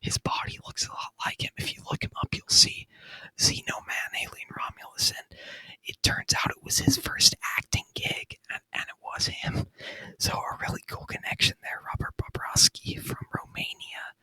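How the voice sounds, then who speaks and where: A man speaking in a breathy, unvoiced whisper close to the microphone, chatting in an informal, rambling, enthusiastic ASMR review style. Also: normal speed, bright, forceful tone, poor audio. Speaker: ASMR video creator and gamer. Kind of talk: whispered ASMR movie review, casual chat. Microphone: close microphone, dry sound.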